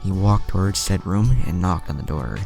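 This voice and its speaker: Casting: male narrator for fan fiction, very low, heavy vocal fry, animated intonation. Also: moderate pace, soft background music.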